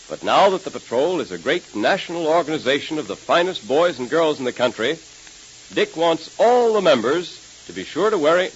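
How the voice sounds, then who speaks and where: A man announcing a 1930s radio show and pitching its sponsor with emphatic delivery. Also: breathy edge. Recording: muffled, hissy 1930s radio recording.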